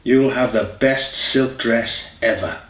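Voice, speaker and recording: A man's voice: medium pitch, slow, fairly bright tone. A man voicing a cheerful, lovestruck young boyfriend, a corny romantic, in an audiobook. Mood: delighted. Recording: echoey room, distant mic, faint hiss.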